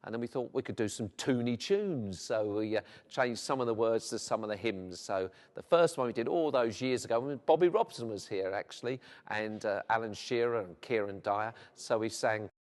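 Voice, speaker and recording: A man's voice: lowish voice, normal speed, hardly any pauses, a little mellow. A middle-aged man with a South London accent, a vicar talking about his life in a documentary interview, chatty and rambling with many fillers. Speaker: vicar and football club chaplain. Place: church. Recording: long hall reverb.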